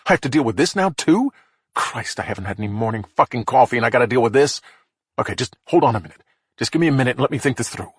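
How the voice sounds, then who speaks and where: A frantic, foul-mouthed man on a phone, his voice pitched up and pushed hard as he hisses threats and panics, as a narrator puts him on. The tone is husky and dry, read close in an audiobook. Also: fairly quick, fairly bright tone, no room sound. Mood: panicked. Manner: snarling, panicky, profane. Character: angry stressed middle-aged man, hissing threats. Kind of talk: audiobook character voice.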